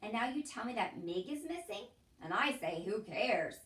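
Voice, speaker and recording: A woman voicing a spiteful, loud-mouthed female servant in a storybook reading, scolding and shouting. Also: medium pitch, expressive, moderate pace, close to an everyday voice. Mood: scornful. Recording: echoey room, distant from the mic.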